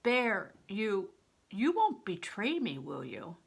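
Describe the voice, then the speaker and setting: A woman's voice: medium pitch, huge pitch swings, slow pace, creaky, breathy edge, full character voice. An older woman voicing an anxious, earnest young boy apprentice in a storybook, with a pleading, questioning manner.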